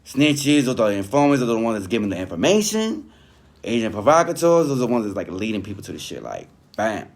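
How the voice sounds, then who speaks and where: A man's voice: lowish voice, sing-song, fast. A man commenting informally while reading aloud from a text, talking in conversational street slang and often checking that the listener follows. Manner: street-slang, conversational, peppered with "you know what I mean". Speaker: online commentator reviewing a written statement.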